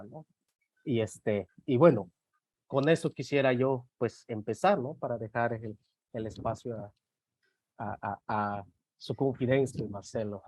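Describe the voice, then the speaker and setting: A man's voice: mid-range voice, darkish tone, no echo. A man in his forties with a Yucatec Maya accent, Maya as his first language, speaking hesitantly with many fillers as a panelist in an online talk. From Mexico. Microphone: video call.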